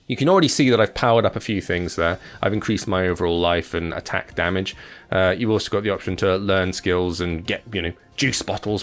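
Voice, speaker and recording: A man presenting games with casual gameplay commentary in a chatty, informal, slangy manner. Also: fairly low pitch. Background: music.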